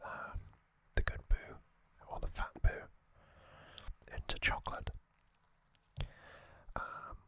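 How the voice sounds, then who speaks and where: A man delivers an ASMR recap entirely in a breathy whisper. His sound is very bright and sibilant. He moves slowly, in short halting phrases, as he narrates trivia. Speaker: ASMR host.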